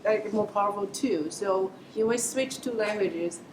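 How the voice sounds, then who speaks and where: A woman speaking English as a second language on a panel, halting and thoughtful as she works out her phrasing. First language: not English. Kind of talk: panel discussion. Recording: echoey room with audible rumble.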